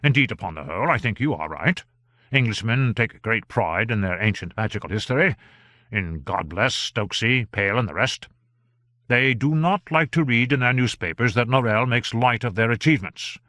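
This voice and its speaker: A man reading an audiobook, voicing an earnest male government gentleman who speaks emphatically and exclaims in surprise. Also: medium pitch, lively intonation, moderate pace, no room sound, clean studio sound.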